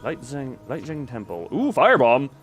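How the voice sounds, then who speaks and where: A man's voice: medium pitch, sing-song, normal speed, soft background music. A man giving casual gameplay commentary to a live chat, rambling and thinking aloud as he plays. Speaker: video game streamer.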